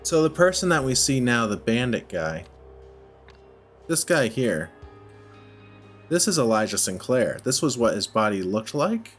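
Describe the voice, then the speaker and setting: A man giving casual gameplay commentary, thinking out loud in short, halting phrases. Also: medium pitch, big pitch swings. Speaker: let's play host. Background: music.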